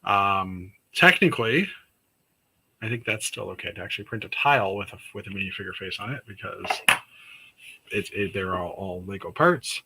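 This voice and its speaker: Guy from canada with a scratchy sore throat, lowish but bright voice, rambling livestream chat, lots of ums. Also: expressive, normal speed, creaky. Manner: rambling, many fillers and restarts. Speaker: host of a weekly livestream chat. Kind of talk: casual livestream chat reading news.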